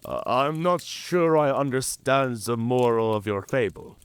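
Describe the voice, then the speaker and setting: A man's voice: mid-range voice, lively intonation, a little slow, smooth, natural voice. A man playing a cunning, proud werewolf in an audio drama, with a theatrical, drawling and mocking delivery. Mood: curious. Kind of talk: cinematic audio drama acting.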